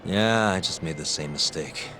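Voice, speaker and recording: A man's voice: low-pitched, moderate pace, pushed and bright. An actor playing an easygoing, slightly awkward male private investigator in a video game, casual and hesitant. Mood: calmer, questioning. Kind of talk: English game dub cutscene acting, naturalistic. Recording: music behind the dialogue.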